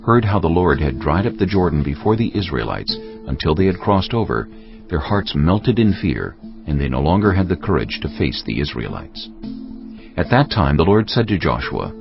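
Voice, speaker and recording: A man's voice: low voice, big pitch swings, normal speed, gravelly. A man giving scripted narration for a dramatized audio Bible. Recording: dull audio, music underneath.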